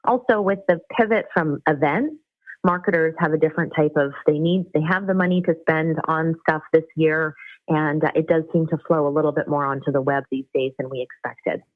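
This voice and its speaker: A woman's voice: sits low, brisk, dry recording. A woman from Costa Rica who runs a web agency, explaining her business steadily in an interview. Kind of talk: interview answers. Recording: tinny phone-like line, dry.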